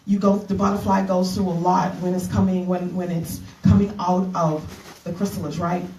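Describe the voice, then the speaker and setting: A middle-aged woman from the Bahamas, giving an earnest retreat keynote as a minister, halting with fillers and restarts. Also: on the low side, level tone, mellow, slight background noise. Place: conference room. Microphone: handheld mic. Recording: echoey room from a distance.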